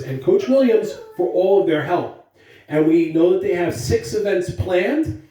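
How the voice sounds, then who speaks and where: A man's voice: a little high, mellow. A man giving morning announcements as a school administrator, speaking to young children in an encouraging, emphatic way. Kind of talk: upbeat school announcements and read-aloud to children. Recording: very echoey room, distant mic.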